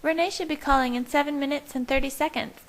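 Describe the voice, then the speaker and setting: Bubbly, bossy young vampire girl for a storybook, acted by a woman; very high voice, excitable and emphatic. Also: fast talker. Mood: chirpy. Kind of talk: audiobook character voice.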